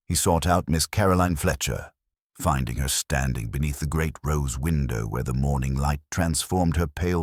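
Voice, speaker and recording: Deep male audiobook narrator doing a mystery, formal and measured, really dry close sound. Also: dry recording. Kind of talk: scripted mystery story narration.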